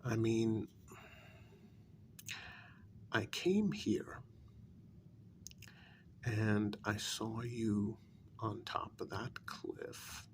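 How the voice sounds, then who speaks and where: A man playing a menacing, sardonic kidnapper in a soft-spoken ASMR role-play, gravelly and often slipping into whispers, speaking in a halting, deliberate way. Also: on the low side, a little hiss or hum. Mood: aggrieved, simmering. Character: menacing, sardonic male kidnapper interrogating his captive.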